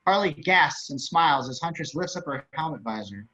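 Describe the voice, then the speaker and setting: A man's voice: fairly high pitch, lively intonation, normal speed, bright, forceful tone. A man reading scripted action narration and stage directions over a video call. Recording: dull video-call audio.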